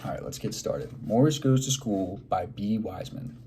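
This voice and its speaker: A man reading a picture book aloud to children in an expressive way, pausing to prompt them with simple questions. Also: lowish voice, a little hiss or hum.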